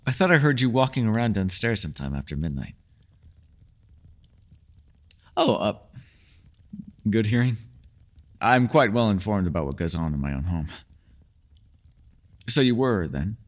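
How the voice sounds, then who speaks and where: A man's voice: on the low side, very animated, normal speed, long pauses. A man playing a mysterious, ageless male elf in a fantasy ASMR roleplay, teasing yet secretive, with courteous, formal, old-fashioned phrasing. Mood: lightly teasing.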